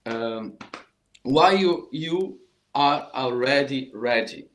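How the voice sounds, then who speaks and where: A man's voice: medium pitch, expressive, slow pace, a bit bright. Brazilian man teaching in a roomy echo. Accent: Brazilian Portuguese. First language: Portuguese. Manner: earnest, repetitive, frequent restarts. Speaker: saxophone teacher. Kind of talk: informal online music lesson.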